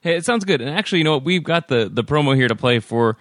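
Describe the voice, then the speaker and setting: A man's voice: a little high, expressive, quick pace, a bit bright, no room sound, studio quality. A man hosting a podcast in a casual, friendly way, with easy filler words and restarts. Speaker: podcast host.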